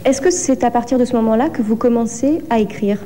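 Dark-voiced woman interviewer, formal. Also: a little high, long reverb tail, slight background noise. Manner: measured, formal questioning. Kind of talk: interview questions.

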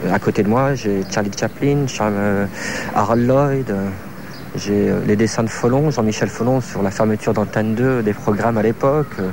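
A man in his thirties, a choreographer from France, answering interview questions in a halting way, searching for words with many fillers. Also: on the low side, background noise. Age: 30s.

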